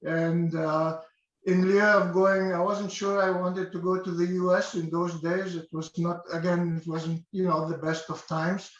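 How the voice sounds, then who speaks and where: An older man from Egypt talks in a slightly higher voice, hesitating with many fillers and restarts. The recording sounds roomy and a little dull. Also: level tone, unhurried. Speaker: interview guest. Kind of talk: casual interview. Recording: echoey dull-sounding room.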